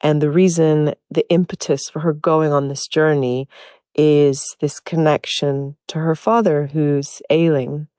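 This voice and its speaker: A woman from Hungary, a native Hungarian speaker, explaining her role in an interview with a thoughtful, measured manner. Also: sits low, little pitch movement, mellow, dry recording, studio quality. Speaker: actor. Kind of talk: interview answers.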